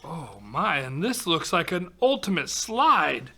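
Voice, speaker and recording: Animated, high-pitched man reading a children's storybook. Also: takes it slowly, gravelly, husky. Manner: expressive, exclaiming, chatty asides. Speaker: volunteer storybook reader for children. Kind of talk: reading a rhyming picture book aloud with ad-libbed asides to children.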